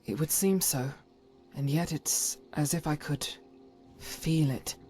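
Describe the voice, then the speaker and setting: A woman's voice: low-pitched, slow, dark tone, breathy edge, faint music behind, exaggerated voice. A woman voicing a devout, stern holy warrior who carries private doubt, speaking solemnly and formally, as in a fantasy game. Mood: guarded, resolute. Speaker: voiced follower character in a fantasy game mod. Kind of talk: scripted dramatic game dialogue.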